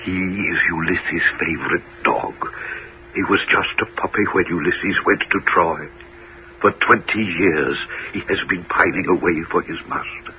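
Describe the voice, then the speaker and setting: A man's voice: deep voice, unhurried. An actor playing an old Greek father and former king in a 1970s radio drama, earnest and theatrical. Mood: sorrowful. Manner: theatrical, earnest, swelling with feeling. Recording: muffled, hissy 1970s radio recording.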